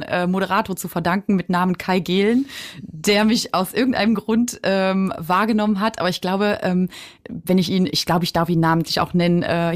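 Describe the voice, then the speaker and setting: Chatty woman with a lowish voice. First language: German. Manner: chatty, many fillers, laughs often. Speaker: singer-songwriter guest. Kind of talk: casual radio interview chat. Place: radio studio.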